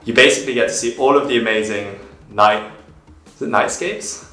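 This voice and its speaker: A young man vlogging to camera from home, casually explaining photography step by step in a relaxed, chatty way. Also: mid-range voice, moderate pace, soft background music. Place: small room at home, indoors. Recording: echoey room.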